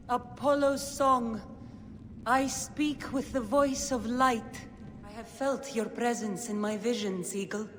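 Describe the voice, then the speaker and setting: An actress playing a mystical oracle, intoning prophecy in a solemn, portentous way for a video game. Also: female voice, a little high. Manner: incantatory, portentous. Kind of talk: video game cutscene acting. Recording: long echoing reverb, background ambience noise.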